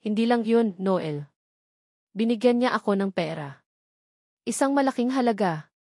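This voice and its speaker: A woman voicing an anguished, fearful young wife in an audio drama, halting and repeating her words. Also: medium pitch, expressive, mellow, dry recording, clean studio sound. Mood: bitter, fearful. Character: anguished, fearful young wife, a former housemaid, self-sacrificing.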